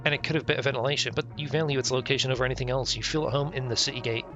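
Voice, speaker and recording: A man's voice: medium pitch, fairly even intonation, racing, muffled recording. A man streaming a visual novel, reading the text aloud with irreverent, offhand asides. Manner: irreverent, ad-libs jokes and mutters reactions. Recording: music playing behind the voice. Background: game music.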